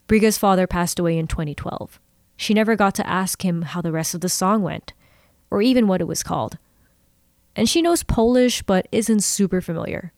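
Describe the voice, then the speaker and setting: A woman's voice: medium pitch, a little mellow, no room sound. A woman hosts a podcast with a conversational storytelling delivery. Her mid-range voice is recorded close and dry, with no room sound around it. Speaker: podcast host. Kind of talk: narration and co-host chat.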